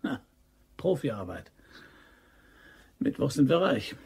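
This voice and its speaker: An actor playing a cocky, scheming amateur robber in a German crime radio drama, speaking in a clipped, cocksure way. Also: male voice, mid-range voice, big pitch swings, slow pace, vocal fry, a little mellow, slightly rough, exaggerated voice.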